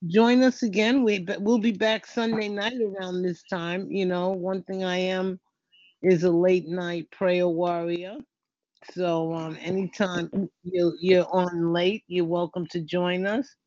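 A man's voice: high-pitched, flat delivery, slow, darkish tone, no echo. A man hosting a prayer community call in a casual, conversational way. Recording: dull, lo-fi call audio.

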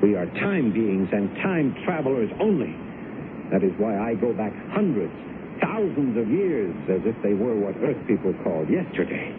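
An actor in a radio drama playing a menacing time traveler from another planet who poses as human, deliberate, accusing and coldly insistent. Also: male voice, big pitch swings. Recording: muffled lo-fi old radio broadcast, rumbling hiss.

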